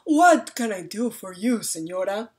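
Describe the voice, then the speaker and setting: A woman's voice: medium pitch, very animated, measured pace. Woman voicing an eager, singsong travelling tinkerer man. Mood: eager, obliging. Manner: singsong street-crier delivery. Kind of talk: storybook read-aloud.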